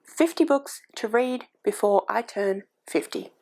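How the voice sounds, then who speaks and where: A woman's voice: a little high, animated, unhurried, music in the background. A woman in her forties chatting casually at home about books, rambling with frequent ums and self-corrections. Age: 40s. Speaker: book YouTuber. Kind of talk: casual booktube chat. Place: home, with a cat on the microphone. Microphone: desk microphone.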